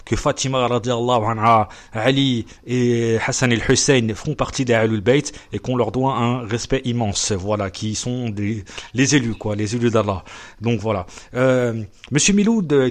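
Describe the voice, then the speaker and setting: Podcast host guy, mid-pitched, lots of uhs, keeps pushing his guest. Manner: hesitant, many fillers, presses his guest. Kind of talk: podcast interview.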